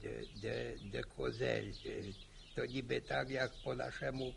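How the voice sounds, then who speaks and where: Creaky old village grandpa. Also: male voice, medium pitch, steady tone, a little hiss or hum. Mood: teasing. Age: older. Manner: repetitive, halting, folksy interjections. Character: old Polish village grandfather, folksy, nodding along, gently teasing. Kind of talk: radio drama dialogue.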